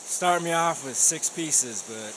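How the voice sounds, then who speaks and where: A man's voice: a little high, expressive, slow pace, background noise. A man playing a dim, tactless police officer who complains cluelessly in a comedic audio drama. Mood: oblivious complaining. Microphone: studio condenser mic.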